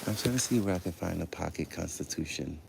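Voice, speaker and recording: A man's voice: deep voice, fast, gravelly. A man livestreaming casually from a public building, chatting to viewers in blunt, slangy, profane talk. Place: public library building, on the move. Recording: noisy live handheld stream.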